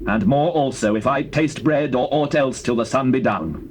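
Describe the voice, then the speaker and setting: Casting: male actor as grave commanding ancient king, weighty measured speech, medium pitch, slightly noisy cassette. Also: darkish tone, close to an everyday voice. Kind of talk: dramatized Bible reading. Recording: noisy old cassette tape transfer.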